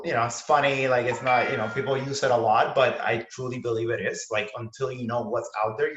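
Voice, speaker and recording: A man's voice: mid-range voice, a bit bright. Earnest young man on a roomy call. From Puerto Rico. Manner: earnest, conversational, many fillers. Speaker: panelist. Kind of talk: personal story on a panel. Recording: roomy, dull-sounding video call audio.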